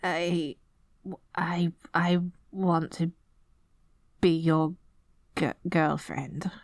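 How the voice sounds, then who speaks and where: A woman's voice: lowish voice, steady tone, extremely slow, no echo, clean studio sound. A woman playing a dorky, lovestruck evil queen in an ASMR roleplay, stammering and flustered between pompous villainous proclamations. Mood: flustered, nervous. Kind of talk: ASMR roleplay audio drama.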